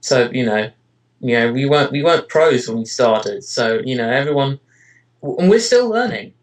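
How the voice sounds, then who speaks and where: Man, medium-pitched voice, casual interviewee, hesitant and rambling, frequent filler phrases. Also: animated, normal speed, low-quality recording. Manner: hesitant, rambling delivery with frequent "you know" and "kind of". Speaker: interviewee, amateur voice actor and web parody creator.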